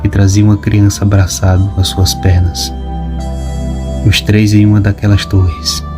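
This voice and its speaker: A man narrating an audiobook story in a measured, even reading. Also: on the low side. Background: background music.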